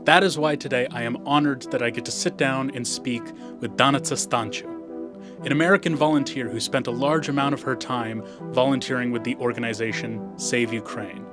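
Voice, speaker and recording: A man's voice: medium pitch, steady tone, fairly quick, music in the background. A man hosting an interview, giving an earnest, formal introduction and then asking questions. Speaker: interview host.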